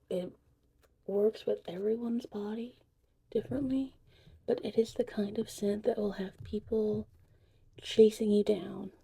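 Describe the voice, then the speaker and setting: A woman whispering softly and breathily in an unhurried, chatty ASMR witch potion tutorial roleplay. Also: medium pitch, creaky, breathy edge. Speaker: ASMR host.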